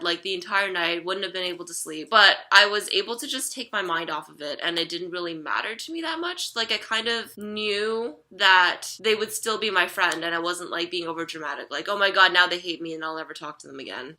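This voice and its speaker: A young adult woman chatting casually in a personal vlog, rambling with lots of fillers and a slightly raspy throat. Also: medium pitch, bright, forceful tone. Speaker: vlogger.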